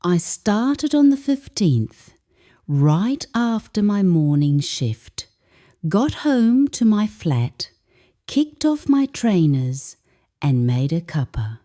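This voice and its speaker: A woman, an English teacher, calmly reading a first-person story aloud as scripted narration. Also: mid-range voice, slow pace.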